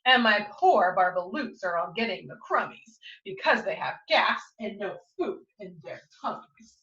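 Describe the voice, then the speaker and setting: A woman's voice: medium pitch, animated, measured pace, pushed and bright. A woman reading a storybook, voicing an indignant, scolding old forest creature who snaps out declamatory rhymes. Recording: roomy, slightly dull, distant microphone.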